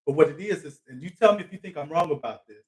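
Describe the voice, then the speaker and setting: A man's voice: on the high side, lively intonation, fast. A man hosting a live talk show, interviewing a guest in a thoughtful way with frequent fillers. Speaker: host.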